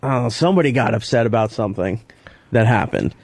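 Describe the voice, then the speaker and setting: A man's voice: medium pitch, expressive, quick pace, darkish tone, no room sound. A man on a radio show staff, talking casually in a reluctant, evasive, terse way.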